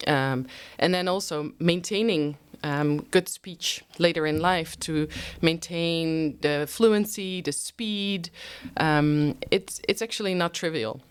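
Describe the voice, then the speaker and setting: A woman's voice: low voice, clean tone. A Dutch-native woman in her thirties, a researcher answering interview questions in English, thoughtful and full of ums. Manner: thoughtful, many ums, careful explaining. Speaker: guest researcher.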